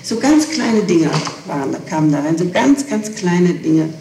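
A middle-aged woman answering questions in a police interrogation, halting and evasive as she searches for words, on an old 1960s tape. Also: fairly low pitch, sing-song, crowd noise. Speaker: witness being questioned. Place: reverberant room, far from microphone. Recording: noisy, echoing 1960s interrogation tape, distant.

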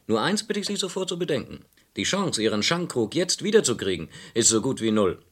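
An unflustered investigator in an old German radio crime play. He explains matters politely and firmly, with a widely moving, animated melody in his medium-pitched voice. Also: brisk, husky. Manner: polite but firm, explanatory.

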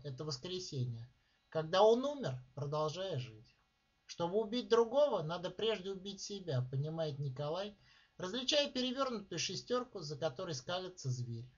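A man reading his own short story aloud in a measured, deliberate way, with dramatic pauses, at a live reading. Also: high-pitched. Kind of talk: literary reading of prose. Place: live reading at a writers' club. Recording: dull lo-fi recording.

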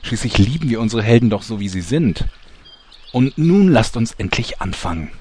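A man's voice: fairly low pitch, lively intonation, moderate pace, darkish tone, slight background noise. A man narrating a comedy audio drama with a wry, smug manner.

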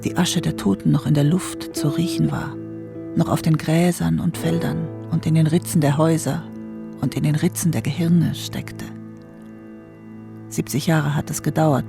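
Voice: mid-range voice, creaky, close to an everyday voice. A performer voicing a brooding, troubled narrator of a later generation, in an intense, incantatory literary monologue with insistent repetitions. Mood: sombre. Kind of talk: literary monologue in a documentary radio drama. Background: music.

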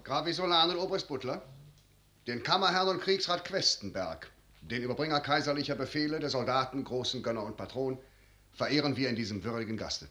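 An actor playing a smooth, courteous, diplomatic general with a ceremonious delivery in a 1950s radio drama. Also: male voice, mid-range voice, a little fast, pushed and bright, slight background noise, close to an everyday voice. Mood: courtly, formal. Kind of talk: 1950s German radio drama verse acting.

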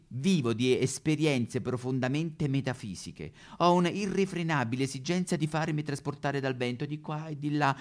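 An actor playing a dreamy, flamboyant son in a theatrical comic monologue, effusive and poetic. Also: male voice, fairly high pitch. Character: dreamy, flamboyant son confessing to his father.

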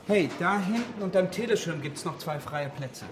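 An actor in a radio play as a chatty office colleague, casual and breezy in manner. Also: male voice, sits high, expressive, moderate pace. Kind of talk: radio play dialogue acting. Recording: clearly noisy background with clicks.

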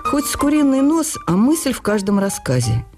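A woman's voice: fairly high pitch, sing-song, moderate pace, music in the background, pitched above the performer's own voice. A woman doing a jovial, swooping, teasing editor. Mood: condescending. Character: jovial, condescending male newspaper editor, brother-in-law.

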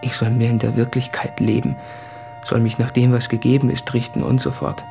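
An actor playing a guilt-ridden male writer in a radio play, confessing in an intense, introspective, articulate monologue with a husky voice. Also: mid-range voice, little pitch movement, brisk, over music, lightly acted. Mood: insistent, brooding. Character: anguished, guilt-ridden male writer addressing his fiancée. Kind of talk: literary radio play monologue from letters and diaries.

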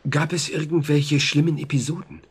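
An actor in a German radio drama playing a composed, methodical psychotherapist who is reassuring, probing and clinical. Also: male voice, a little high, steady tone, breathy edge. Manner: measured, clinical, deliberate.

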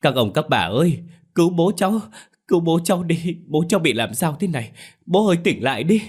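A man voicing a grown son wailing in grief over his dying father, crying out in high sobbing cries. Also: very animated, fairly quick, a little mellow, slightly rough.

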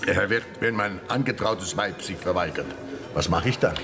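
A complaining, joking husband on holiday in a radio play. His voice is fairly low and swings animatedly over background music. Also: lively intonation, normal speed. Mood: jocular. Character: grumbling, jocular husband, a package tourist. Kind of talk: radio drama dialogue. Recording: music behind the voice.

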